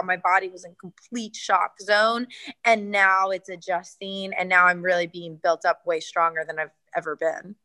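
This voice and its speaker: Young woman fighter chatting in an interview, bright voice, earnest, says um a lot. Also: mid-range voice. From United States. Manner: earnest, many fillers and restarts. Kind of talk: interview answers.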